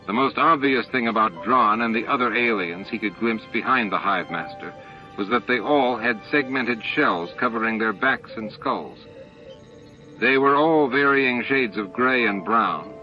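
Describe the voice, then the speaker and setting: Male audiobook narrator, muffled recording. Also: fairly low pitch. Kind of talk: scripted narration of a science fiction novel. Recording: dull, band-limited audiobook transfer. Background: faint music.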